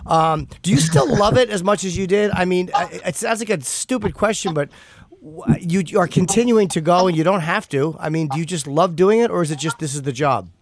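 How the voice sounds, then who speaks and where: A man's voice: sits high, brisk. A man hosting a casual interview, asking long rambling questions with self-deprecating asides. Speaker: podcast host.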